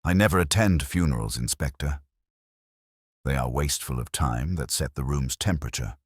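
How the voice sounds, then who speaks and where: A man's voice: a bass voice, slightly rough, dry recording, clean studio sound. A man voicing an imperious, cerebral detective in a storybook, speaking formally and pompously. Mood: coolly confident. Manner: pompous, measured, formal wording.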